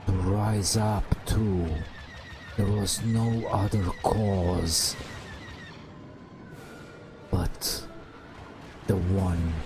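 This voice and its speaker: Guy doing asmr bedtime story, super slow low gravelly hushed voice, music behind. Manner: hushed, drawn-out delivery with long pauses. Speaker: host narrating gameplay. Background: game music.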